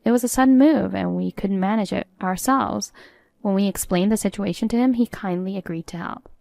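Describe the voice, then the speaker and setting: A woman's voice: medium pitch, brisk, vocal fry, a little mellow, lightly acted. A woman playing a defiant, sarcastic adult daughter-in-law in a story, composed and matter-of-fact.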